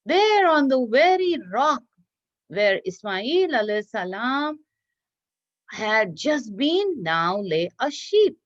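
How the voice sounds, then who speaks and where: A woman telling a story and teaching children in an expressive, encouraging, teacherly way over a video call. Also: medium pitch. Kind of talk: read-aloud storytelling and quizzing children in an online class. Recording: dull lo-fi online class audio.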